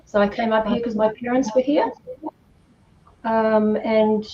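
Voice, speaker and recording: A woman's voice: on the high side, steady tone, unhurried. An older woman from Australia with an Australian accent, chatting casually as a podcast guest and telling personal stories. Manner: chatty, reminiscing. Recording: muffled, distant echoey room, faint noise.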